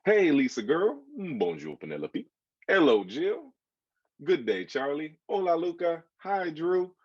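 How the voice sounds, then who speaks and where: A man voicing an excitable, cheerful little girl who exclaims in a bubbly way in a children's picture book. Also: fairly high pitch, a little slow, husky, dry recording, lo-fi sound, pitched above the performer's own voice, heavily acted. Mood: cheerful greeting.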